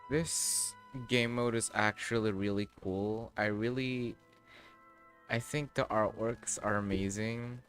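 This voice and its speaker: Male game streamer, lowish voice, unhurried and rambling casual commentary over clear background music. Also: fairly even intonation, a bit bright. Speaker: game livestreamer.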